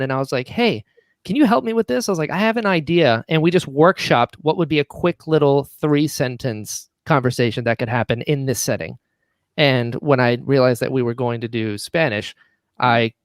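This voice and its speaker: A man's voice: medium pitch, dry recording. A man, a guest on a podcast, chatting casually and enthusiastically about producing, often acting out bits of past conversations. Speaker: audio drama writer and producer, podcast guest. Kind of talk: casual podcast chat, animated storytelling.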